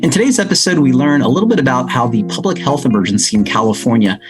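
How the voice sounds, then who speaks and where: An enthusiastic man hosts a podcast interview. His voice sits in an ordinary middle pitch. Guitar music plays clearly under his intro. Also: animated, quick pace. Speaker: podcast host.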